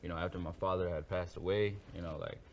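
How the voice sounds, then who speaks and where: A man from New York, a game show contestant, talking in a relaxed way full of "you know". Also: sits low, fast talker, creaky, a little hiss or hum.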